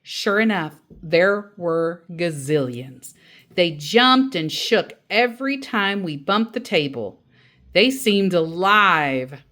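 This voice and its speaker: Slow, lively woman storyteller. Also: lowish voice. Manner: acts out quoted dialogue expressively. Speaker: storyteller reading a children's book aloud. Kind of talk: animated read-aloud storytelling.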